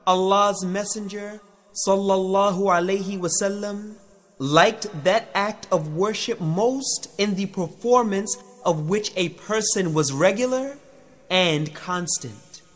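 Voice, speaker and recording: A man narrating a scripted religious audiobook of translations in a measured, solemn manner. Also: high voice.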